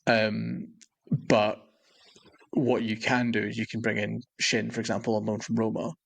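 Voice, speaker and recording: Guy on a footy podcast, flat delivery, raspy husky voice, matter of fact breakdown. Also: on the low side, moderate pace, poor audio. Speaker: sports podcast co-host. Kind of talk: casual football discussion.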